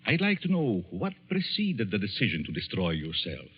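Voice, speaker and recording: An actor playing a smooth, probing, menacing psychology professor in a 1940s radio thriller, deliberate and theatrical. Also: male voice, fairly low pitch, huge pitch swings, exaggerated voice. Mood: insistent, persuasive. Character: smooth, menacing middle-aged psychology professor, coolly persuasive. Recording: dull vintage 1940s radio recording.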